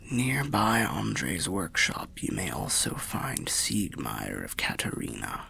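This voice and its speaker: A man voicing a weary old undead wanderer telling fantasy tales in ASMR roleplay, half whispered and gravelly, with a measured, wry, old-fashioned way of speaking. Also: sharp tone, slight background noise, exaggerated voice. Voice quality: breathy whispering, gravelly low voice. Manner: measured, archaic, wry storyteller.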